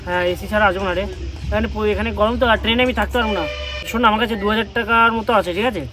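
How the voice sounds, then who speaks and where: A young man talks extremely fast in a casual phone chat. He teases and presses, circling back to the same question. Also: sits high, fairly even intonation. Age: young adult. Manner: teasing, insistent, repeats questions. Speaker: prank video creator.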